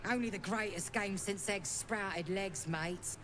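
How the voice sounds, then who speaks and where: A woman's voice: mid-range voice, unhurried, a bit bright, close to an everyday voice. Woman voicing a cocky, drawling British goblin woman. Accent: British, working-class English. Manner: sly, mocking, colloquial. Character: cocky, taunting female goblin running a chicken-chasing game. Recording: clear background noise, game ambience.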